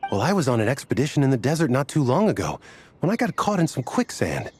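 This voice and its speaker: A man's voice: mid-range voice, wildly swooping pitch, fast, a little mellow, faint music behind. An actor playing a weary man from a desert order who sighs and grumbles in exasperation. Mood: recounting. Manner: sighing, exasperated. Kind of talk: game cutscene voice acting.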